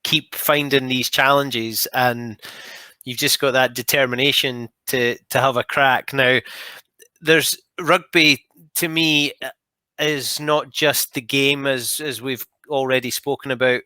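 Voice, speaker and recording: A male podcast host with a bright, crisp voice, recorded dry and close. He speaks in a relaxed conversational way, admiring and encouraging toward his guest. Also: mid-range voice, slow, no echo. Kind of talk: conversational interview.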